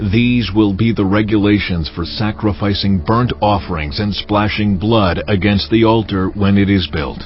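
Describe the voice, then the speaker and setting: A man playing God in a dramatized scripture reading, speaking solemnly and deliberately with majestic authority. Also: low voice. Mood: solemn, commanding. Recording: slightly muffled audio over background music. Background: music.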